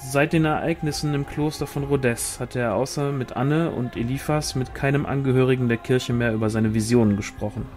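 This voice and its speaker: A man's voice: medium pitch, fairly even intonation, fairly quick, few pauses, darkish tone, faint music behind. A man reading a fantasy novel aloud as scripted audiobook narration. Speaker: audiobook reader.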